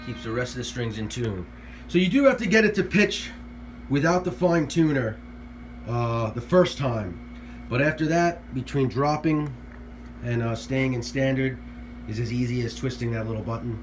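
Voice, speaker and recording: A man's voice: medium pitch, slight background noise. Laid-back man demoing guitar gear. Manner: relaxed, offhand, halting with fillers. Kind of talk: casual workbench demo. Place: workbench room with guitar. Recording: guitar playing under the voice.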